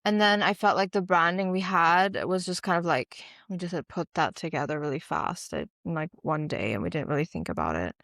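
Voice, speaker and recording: A woman co-hosting a podcast in relaxed, chatty talk, explaining at length with many fillers. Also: fairly low pitch, fairly quick, hardly any pauses, no echo, studio quality. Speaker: podcast co-host. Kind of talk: casual chat.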